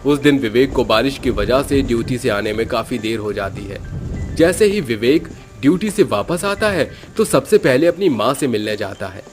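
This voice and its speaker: A man's voice: medium pitch, lively intonation, moderate pace, faint music behind. A man giving scripted narration for a horror cartoon story.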